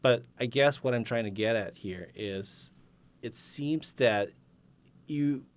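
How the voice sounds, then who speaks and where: A man's voice: mid-range voice, takes it slowly, clean tone. A man from East Asia who hosts a casual podcast conversation and asks reflective questions, often ending with a "right?". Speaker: podcast host.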